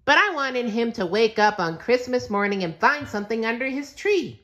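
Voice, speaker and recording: An excitable little cartoon sea creature, pitched extremely high and swinging all over. The character is sweet, earnest and eager, exclaiming like a thrilled child in a storybook. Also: male voice, moderate pace, full character voice. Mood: earnest, caring.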